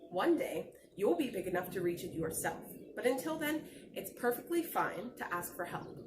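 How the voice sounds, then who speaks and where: A woman acts out a storybook father. The voice drops lower and stays gentle, reassuring a young child. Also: sing-song, normal speed, reverberant, far from the mic, noisy background, close to an everyday voice. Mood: tender, assuring. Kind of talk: picture-book read-aloud.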